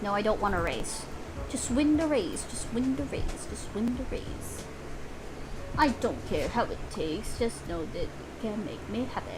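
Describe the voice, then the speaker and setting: A woman's voice: medium pitch, expressive, unhurried, noisy background. A woman doing casual live gameplay commentary in a playful, exclamatory way, swearing freely. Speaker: game streamer.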